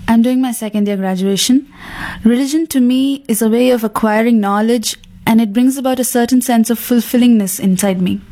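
A woman's voice: fairly high pitch, fairly quick, warm tone. A young woman student speaking conversationally in a group discussion. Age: young adult.

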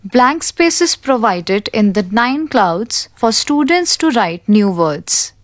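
Woman, audiobook narrator, slow and deliberate, dry close sound. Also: fairly high pitch, sing-song, no echo. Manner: slow, careful, deliberate reading. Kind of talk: reading a textbook aloud.

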